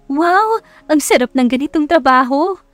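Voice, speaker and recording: A woman's voice: sits high, expressive, a little slow, fairly bright tone, no room sound, faint music behind, voice pushed up. A woman voicing a shy, easily flustered young woman tutor in a romance audiobook. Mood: delighted, playful. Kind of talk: romance audiobook character acting.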